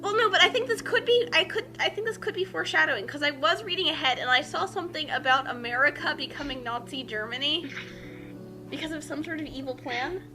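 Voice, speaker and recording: A woman's voice: high-pitched, fast. A woman co-hosting a casual group reading, bantering and commenting in a theatrical, mock-dramatic way. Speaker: co-host of a group fan-fiction reading. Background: background music.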